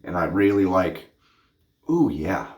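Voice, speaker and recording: Deep-voiced man rambling in a bathroom. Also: very animated, slow, a little mellow. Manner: rambling, goofy and hyper, with self-corrections. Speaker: shaving vlogger. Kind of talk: casual chat to camera. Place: small home bathroom, indoors. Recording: echoey bathroom.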